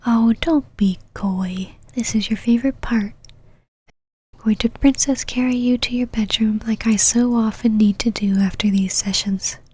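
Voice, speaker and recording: A woman playing a possessive, teasing, domineering female vampire knight in an ASMR roleplay, mostly in a breathy whisper with a commanding manner. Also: on the high side, steady tone, moderate pace, a little mellow. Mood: mocking, fond.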